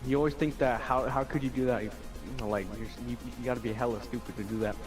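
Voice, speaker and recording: A man's voice: medium pitch, fairly even intonation, fast talker, over music. A young man casually telling anecdotes to a friend, rambling, slangy and full of swearing. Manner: slangy, profane, loose and rambling.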